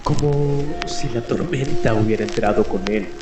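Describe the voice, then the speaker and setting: A man narrating a scripted radio drama in an ominous, deliberate way with halting pauses. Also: mid-range voice. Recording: echoey, music and rain behind.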